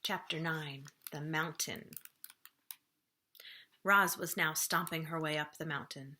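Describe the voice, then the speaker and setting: A woman reading a children's storybook aloud as a librarian would, expressively, with dramatic pauses and slower, hushed phrasing for suspense. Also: sits low, steady tone.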